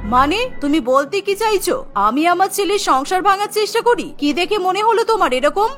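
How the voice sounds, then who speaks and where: Woman playing a bright, shrill-pitched scolding mother-in-law. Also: expressive, normal speed, faint music behind. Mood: scolding, resentful. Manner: accusing, scolding. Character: quarrelsome, resentful older mother-in-law.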